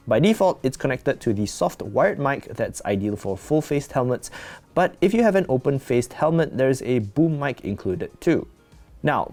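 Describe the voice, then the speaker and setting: Bright, animated man narrating a product review. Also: mid-range voice.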